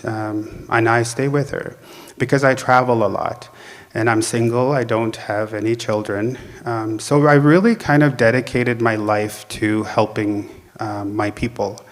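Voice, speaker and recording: Soft-spoken man in a reverberant hall. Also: medium pitch. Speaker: guest speaker. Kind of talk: answering a question at an assembly.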